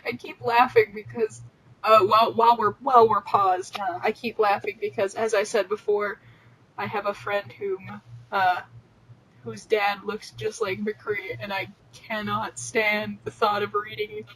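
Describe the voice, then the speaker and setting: A woman's voice: medium pitch, fairly even intonation, a little slow, a bit bright, slight background noise, low-quality recording. A woman podcast host reading fan fiction aloud and bantering casually, with a giggly, teasing manner.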